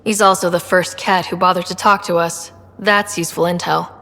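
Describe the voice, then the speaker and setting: A woman's voice: lowish voice, steady tone, normal speed, fairly bright tone. A woman playing an earnest, inquisitive young female explorer in conversational video game dialogue. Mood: curious, friendly. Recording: long reverb, music behind.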